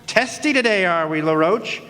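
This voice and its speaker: A man's voice: high voice, lively intonation, normal speed, bright, forceful tone. A man playing a wisecracking hard-boiled private detective in a radio play, with a theatrical, sardonic delivery. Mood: accusing, cocky. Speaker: narrator and lead actor in radio play. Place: live radio play in a hall. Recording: live stage, long hall reverb.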